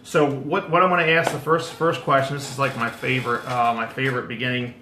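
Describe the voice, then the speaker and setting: A man's voice: mid-range voice, flat delivery, fast, reverberant, background noise. A man hosting a casual online interview, stumbling and repeating words with many fillers. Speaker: host.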